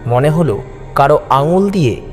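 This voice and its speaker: A man's voice: fairly high pitch, expressive, normal speed. A man narrating a first-person horror story in a suspenseful, theatrical style. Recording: music behind the voice. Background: background music.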